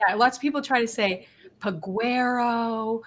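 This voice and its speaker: A woman talking casually as a guest, her voice a little scratchy from a passing cold. She rambles warmly with fillers and restarts. A faint hiss sits under the recording. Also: on the high side, measured pace. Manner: chatty, laughing, many fillers and restarts. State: getting over a cold, slightly scratchy. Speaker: guest, business coach. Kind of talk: casual live-stream interview.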